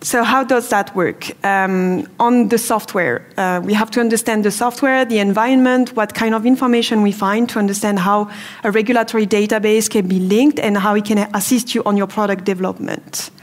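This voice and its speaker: A woman's voice: mid-range voice, a little fast. French-accented woman doing a trade fair presentation on a mic, methodical and explanatory. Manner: explanatory, steady, methodical walkthrough. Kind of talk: product presentation talk.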